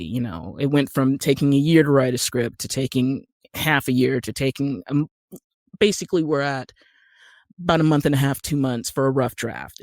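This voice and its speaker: A woman's voice: a bass voice, darkish tone, dry recording. American woman interviewee, close dry sound. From Utah, United States. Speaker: podcast guest, screenwriter and actor. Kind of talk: conversational interview.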